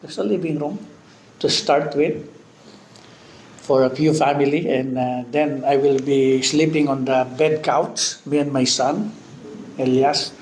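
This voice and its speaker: A man in his fifties with a Filipino accent preaching a sermon, often breaking in with amen and hallelujah and pausing on fillers. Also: medium pitch, steady tone, a little mellow. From Philippines. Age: 50s. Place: church hall. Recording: echoey church hall with faint background noise.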